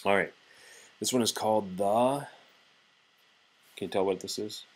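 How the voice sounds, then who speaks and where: A man reading a children's story aloud to a child in an animated narrating style. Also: fairly low pitch, measured pace. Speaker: storybook reader.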